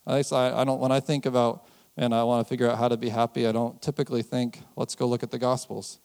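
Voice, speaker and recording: American guy preaching, chatty and laughing a lot, slows way down on the big points, middle pitch. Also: flat delivery, a little mellow, clean tone. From United States. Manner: conversational, laughs often, slows down on key phrases. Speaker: pastor. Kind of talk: sermon.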